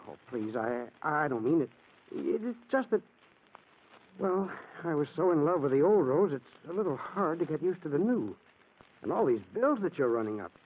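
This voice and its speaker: An actor playing a worried, devoted husband in a 1940s radio mystery, earnest and stammering. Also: male voice, fairly high pitch, big pitch swings, moderate pace, a little hiss or hum. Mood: exasperated. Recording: tinny old radio recording.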